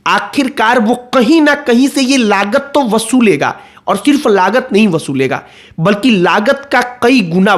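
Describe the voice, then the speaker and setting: A man's voice: sits high, a bit bright. A man giving explanatory political commentary, going point by point and repeating phrases for emphasis.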